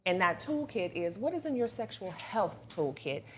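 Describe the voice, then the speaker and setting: A woman's voice: medium pitch, very animated, moderate pace, a little hiss or hum. A woman hosting a women's panel discussion in a conversational way, speaking to the group.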